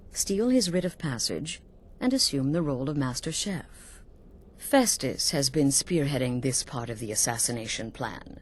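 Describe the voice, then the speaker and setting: An actress playing a commanding leader of assassins in a video game, cool, deliberate and authoritative. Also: female voice, rumbling low, darkish tone. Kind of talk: video game character acting.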